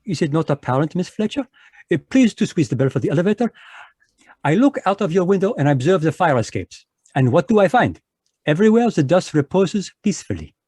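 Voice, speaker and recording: An actor playing a fussy, precise Belgian detective in a 1940s-style radio play, with a put-on French accent, courtly and lecturing, dropping French words. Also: male voice, on the high side, big pitch swings, fairly quick, a little mellow, husky, dry recording. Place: home, live video call.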